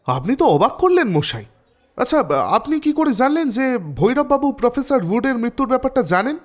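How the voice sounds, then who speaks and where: An actor playing a detective's impatient, teasing Bengali friend in an audio story, brusque and mocking. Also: male voice, extremely high pitch, very animated, normal speed. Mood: amazed.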